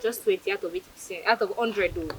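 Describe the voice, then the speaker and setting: A woman's voice: medium pitch, huge pitch swings, fast talker, creaky, slight background noise, rough recording. A young woman with a Nigerian accent answering informally in an interview as a student stage manager. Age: young adult.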